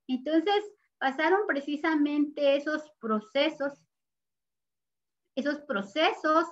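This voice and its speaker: An older Mexican woman, a native Nahuatl speaker, speaks with a Mexican Spanish accent in a slightly raised voice. She talks very slowly and earnestly, pausing often as she looks back on her life. The recording sounds muffled and lo-fi. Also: high-pitched. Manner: measured and earnest, pausing with soft fillers. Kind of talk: slow, reflective interview testimony.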